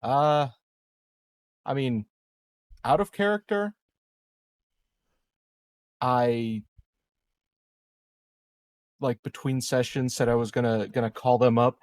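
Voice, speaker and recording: A man's voice: medium pitch, slow pace, long pauses, darkish tone, dry recording. Hesitant man chatting over a tabletop game. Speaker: tabletop roleplaying game player. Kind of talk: casual tabletop roleplaying banter.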